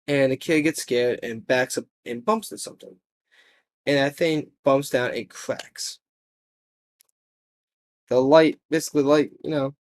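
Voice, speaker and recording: A man giving casual horror video commentary, rambling and halting as he rephrases his thoughts mid-sentence. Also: mid-range voice.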